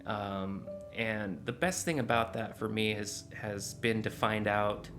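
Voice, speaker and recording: A man's voice: lowish voice, a little slow, music in the background. A man in his forties talking earnestly in a casual confessional vlog, rambling with fillers and restarts, recorded on a desk mic in a small dim room at home. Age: 40s. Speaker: vlogger. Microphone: desk mic in front, close camera framing.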